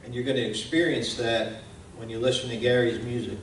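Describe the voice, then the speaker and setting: A man's voice: medium pitch, little pitch movement, fairly quick. A man giving an informal slide presentation to an audience as the presenter. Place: indoor room at a live presentation. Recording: echoey room, distant mic, faint noise.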